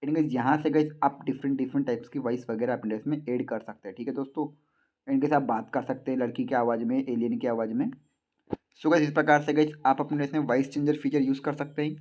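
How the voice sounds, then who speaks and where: A man's voice: medium pitch, steady tone, quick pace, darkish tone. A man with an Indian accent narrating a casual step-by-step phone tutorial as a video host, repetitively addressing his listeners. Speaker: YouTube tech tutorial host. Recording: dull lo-fi sound.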